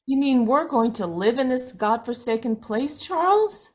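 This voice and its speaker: A woman's voice: a little high, expressive, a little slow, darkish tone. A woman playing an uneasy, submissive wife in an old-time radio drama, speaking in a plaintive, resigned way.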